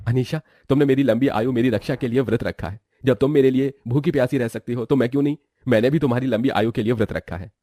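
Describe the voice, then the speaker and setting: Guy playing a devoted young husband, gentle and earnest, medium pitch, dry close recording. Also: fast, few pauses, no room sound, natural voice.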